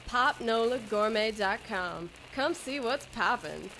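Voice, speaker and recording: A woman's voice: fairly high pitch, lively intonation, slow, a bit bright, a little hiss or hum. A woman announcer reading a folksy scripted sponsor advertisement.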